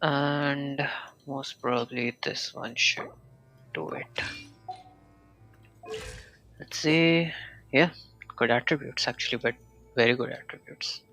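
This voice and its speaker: A man casually narrating his own gameplay on a live stream, thinking out loud in slow, hesitant murmurs. His voice carries a gravelly, creaky edge. Faint game music plays behind him. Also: medium pitch, steady tone, rough recording.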